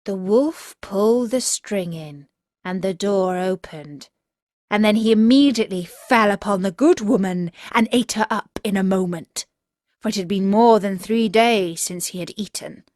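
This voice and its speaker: A woman narrating a children's fairy tale in a measured, expressive storytelling manner. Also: medium pitch, no room sound, clean studio sound.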